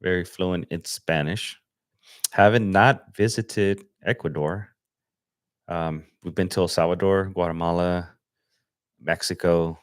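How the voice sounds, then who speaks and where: A young man from Los Angeles chatting casually on a livestream as he edits photos, relaxed and slangy, thinking aloud with fillers. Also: low-pitched, measured pace, long pauses, smooth, dry recording, clean studio sound. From Los Angeles, USA. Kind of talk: casual livestream chat while editing. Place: home desk setup.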